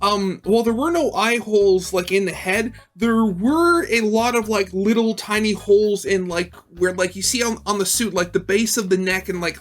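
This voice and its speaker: Woman doing reaction commentary, average pitch, rambly and halting, bit of music behind her. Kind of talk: casual unscripted reaction commentary.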